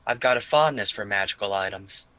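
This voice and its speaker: A man's voice: lowish voice, a little fast, bright, forceful tone, no echo. A man voicing a confident, plain-spoken young fighter hungry for battle in a tabletop game. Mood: confident, curious.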